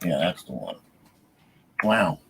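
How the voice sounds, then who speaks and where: A man's voice: very deep voice, very animated, takes it slowly, vocal fry, fairly bright tone, slightly rough. A man co-hosting a livestream in casual chat, often repeating short phrases. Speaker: podcast livestream co-host.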